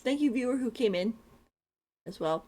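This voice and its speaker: A woman's voice: on the high side, lively intonation, moderate pace, mellow. A woman streaming a game, chatting casually and rambling, laughing often as she talks. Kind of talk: casual live-stream chat.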